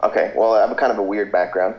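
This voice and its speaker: A man's voice: fairly low pitch, fairly even intonation, rapid-fire, reverberant, lo-fi sound, a loud crowd. A man on a video call, answering interview questions casually with frequent hesitations and restarts. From Philippines. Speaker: Skype guest.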